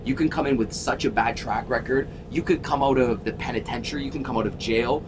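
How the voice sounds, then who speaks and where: A man in his thirties from Toronto, a sales coach telling his story in a casual interview, energetic and emphatic, swearing freely. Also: a little fast. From Toronto, Canada. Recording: constant vehicle rumble.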